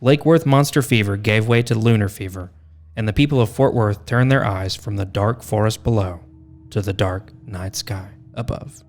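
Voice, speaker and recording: A man's voice: lowish voice, normal speed, darkish tone. A man hosting a podcast, reading a scripted narration with wry, tongue-in-cheek asides. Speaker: podcast host.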